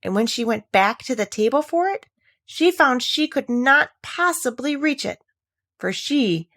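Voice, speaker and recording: A woman reading a children's classic aloud as a storybook narrator, with expressive, deliberate emphasis. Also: fairly high pitch, no echo, studio quality. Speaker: audiobook narrator.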